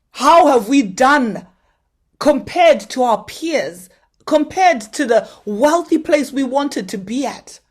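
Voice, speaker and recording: A woman from Zimbabwe, speaking in a fairly high voice. She delivers a motivational address to a conference audience and keeps pressing them with direct questions. Her tone is earnest and exhorting.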